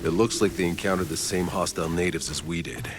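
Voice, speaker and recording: An actor playing a gruff, weary male soldier in a video game, laconic and dryly sarcastic. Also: low-pitched, quick pace, crowd noise. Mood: matter-of-fact. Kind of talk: video game cutscene acting.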